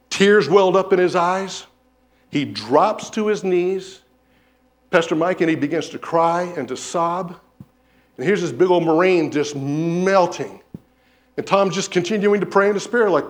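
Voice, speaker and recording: A man preaching a sermon in a church, telling stories and acting out what people said. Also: sits high. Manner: animated storyteller, acts out quotes. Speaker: pastor. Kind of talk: sermon with storytelling. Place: church sanctuary.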